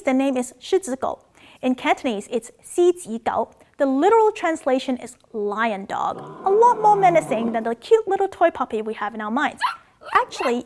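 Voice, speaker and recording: A woman from Macau, raised in Australia, hosting a scripted educational video about words and languages in an upbeat, playful way. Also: noisy background. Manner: upbeat, playful, with excited jumps in pitch.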